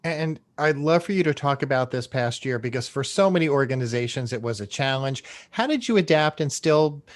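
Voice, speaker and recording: A man's voice: medium pitch, lively intonation, fairly quick. A man hosting an interview, putting questions to his guests in a conversational way. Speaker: interview host.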